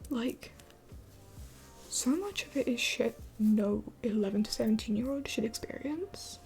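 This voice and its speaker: Whispery young woman with vocal fry. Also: a little high, animated, measured pace, a little mellow, faint music behind. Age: 20s. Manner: rambling, many fillers, often drops to a whisper. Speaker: livestream host. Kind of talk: late-night live Q&A chat.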